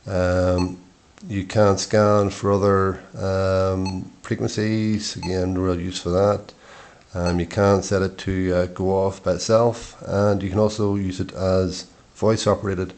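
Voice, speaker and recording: A middle-aged man giving an informal product review in short, matter-of-fact phrases with hesitant pauses. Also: low-pitched, flat delivery, darkish tone.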